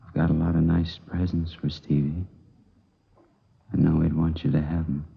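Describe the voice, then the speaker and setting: An actor playing a grieving middle-aged father in 1950s radio drama style, gravelly and husky, holding himself together. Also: a bass voice, flat delivery, moderate pace, full character voice. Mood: subdued grief. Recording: muffled old radio recording, faint music.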